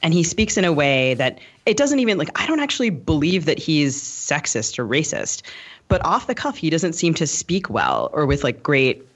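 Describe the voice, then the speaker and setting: A man's voice: fairly high pitch, wildly swooping pitch, moderate pace, fairly bright tone. A man co-hosting a casual interview, talking in a discursive way and often qualifying his own points. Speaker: podcast co-host interviewer. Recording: dull lo-fi sound.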